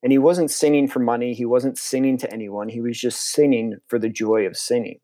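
A man's voice: medium pitch, steady tone, a little fast, mellow, no room sound. A young man from Connecticut, a filmmaker being interviewed, talking casually and enthusiastically with frequent restarts. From Connecticut, USA. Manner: rambling, restarts often, enthusiastic. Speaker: filmmaker guest.

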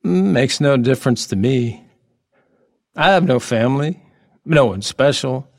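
A middle-aged oddball workman in a radio play, playful but lonely. He talks slowly and haltingly, and his pitch swings widely. He is whimsical and earnest. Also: lively intonation, gravelly, a little mellow. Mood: wistful, confiding. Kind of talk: audio drama acting.

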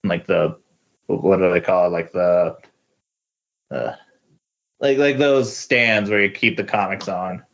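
A man chatting casually as a podcast host, with a halting, hesitant delivery. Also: sits low, gravelly, a bit bright. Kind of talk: casual chat. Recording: muffled lo-fi audio.

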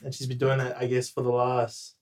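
A young man from New Zealand talks as a guest on a casual podcast. He speaks in a relaxed, hesitant way, full of ums, and laughs easily. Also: medium pitch, brisk.

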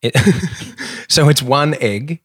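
A man's voice: on the high side, takes it slowly, fairly bright tone, slightly rough, no echo. Playful man, dry close mic. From Australia. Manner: rambling, playful, laughs easily. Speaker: podcast host. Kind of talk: casual podcast chat.

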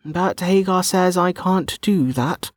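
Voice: medium pitch, slow, dry recording, clean studio sound, voice pushed up. A narrator voicing a flustered, indignant young woman who protests bluntly, in an audiobook. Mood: exasperated. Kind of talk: audiobook character dialogue.

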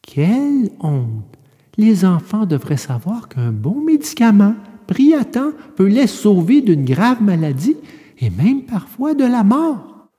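A woman playing a patient, motherly storybook fairy, coaxing and admonishing in a soft, measured way with reverb around her. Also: mid-range voice, very animated, breathy edge, heavily acted. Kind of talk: audio storybook acting. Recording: long hall-like reverb.

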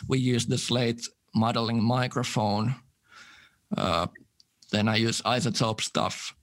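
A man's voice: medium pitch, takes it slowly. Man talking easily, laughing often. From Finland. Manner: relaxed, laughs easily, detailed gear talk. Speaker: guest musician. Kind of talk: casual interview talk.

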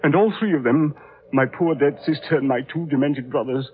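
Actor as distraught gentleman, muffled vintage radio. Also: a little high, normal speed, lightly acted. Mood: horrified, grieving. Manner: agitated, faltering, melodramatic. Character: distraught, horror-struck English gentleman reporting a family tragedy. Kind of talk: old-time radio drama acting. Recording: muffled vintage radio recording, faint noise.

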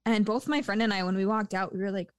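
A woman in a casual movie review chat, talking with lots of fillers and quick laughs. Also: mid-range voice, steady tone, smooth, dry recording, studio quality. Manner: chatty, laughs often, many fillers.

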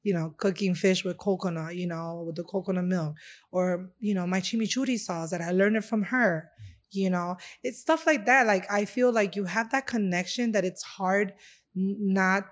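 A woman's voice: mid-range voice, moderate pace. Heartfelt Chicago-born woman sharing her story. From Chicago, USA. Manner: heartfelt, rambling with many fillers. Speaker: interview guest, restaurant owner. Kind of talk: casual interview conversation.

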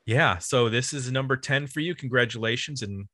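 A man's voice: medium pitch, moderate pace, pushed and bright, no echo, clean studio sound. A man with an American accent hosting a podcast, talking casually and giving announcements. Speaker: podcast host.

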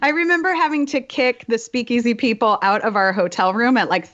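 A woman with a Southern accent tells stories as a guest, her voice a little high and lively. The audio is slightly muffled and lo-fi. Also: a little fast, crowd noise. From Georgia, US. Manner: animated storyteller, self-deprecating. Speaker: voice teacher, guest. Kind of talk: casual interview storytelling.

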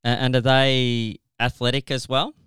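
A man's voice: medium pitch, level tone, a little slow, fairly bright tone, clean tone, dry recording, studio quality. Australian man hosting a podcast. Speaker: podcast host. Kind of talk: scripted intro and conversational interviewing.

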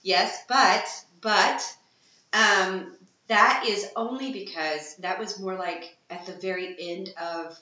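Bright, excitable woman in echoey room. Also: mid-range voice, slow pace, lo-fi sound. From Texas, USA. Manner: enthusiastic, excitable bursts. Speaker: burlesque performer, guest. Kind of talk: casual interview chat.

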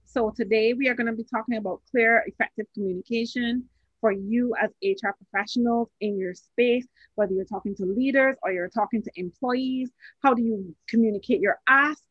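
A woman's voice: a little high, brisk, husky, no room sound, highs cut off. A woman from Barbados hosting a podcast interview, earnest and given to repeating phrases for emphasis. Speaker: podcast host.

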